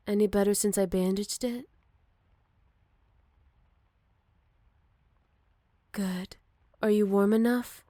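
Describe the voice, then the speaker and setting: A woman voicing a gentle, motherly older werewolf, wistful and protective, in a soft and caring ASMR roleplay. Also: mid-range voice, no echo, studio quality, close to an everyday voice. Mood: tender, nurturing. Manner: soft, caring, unhurried, slipping into whispers. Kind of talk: ASMR roleplay, intimate one-sided dialogue to listener.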